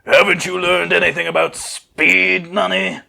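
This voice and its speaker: A boastful alien warrior, acted out by a man for an amateur fan fiction reading. The voice is forceful and bright, full of loud, teasing exclamations and cocky confidence. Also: high voice, little pitch movement, measured pace, creaky, pitched above the performer's own voice, exaggerated voice. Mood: confident, upbeat. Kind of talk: amateur fanfiction character reading.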